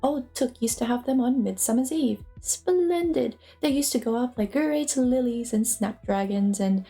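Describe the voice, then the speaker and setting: A woman's voice: a little high, measured pace, warm tone. Woman playing a fussy, flustered little hobbit man. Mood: amazed, delighted. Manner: exclamatory, polite and flustered. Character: fussy, polite, easily flustered male hobbit. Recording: music playing behind the voice.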